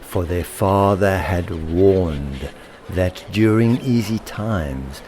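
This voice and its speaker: A man narrating a rhyming children's story with theatrical, deliberate emphasis. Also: deep voice, dark tone, a little hiss or hum. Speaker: author and storyteller.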